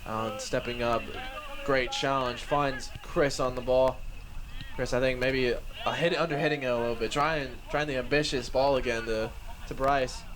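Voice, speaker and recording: A man doing play-by-play commentary for a live match, slightly hoarse, excitable and chuckling often. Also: mid-range voice, normal speed, background noise.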